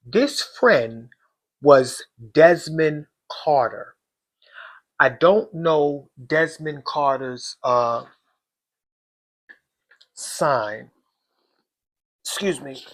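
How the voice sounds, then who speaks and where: Male host, hoarse voice, unhurried, casual astrology reading aimed at his viewers. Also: medium pitch, a bit bright. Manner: slow, deliberate, talks straight to viewers.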